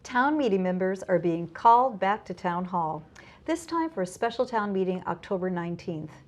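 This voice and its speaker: A woman's voice: mid-range voice, animated, moderate pace. A woman with an American accent reads scripted local news as an anchor.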